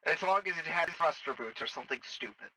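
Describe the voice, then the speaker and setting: Man, very bright forceful voice, slightly high, matter-of-fact game roleplayer, distant dry voice chat. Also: animated, quick pace. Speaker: roleplayer acting as a Star Wars officer. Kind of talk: improvised in-character roleplay chat in an online game.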